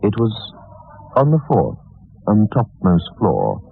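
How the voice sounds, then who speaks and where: An actor as the grave storyteller of a radio mystery, narrating in a measured, literary and dramatic manner. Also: male voice, fairly low pitch, huge pitch swings, creaky, husky, dry recording, full character voice. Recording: muffled, dull vintage radio recording.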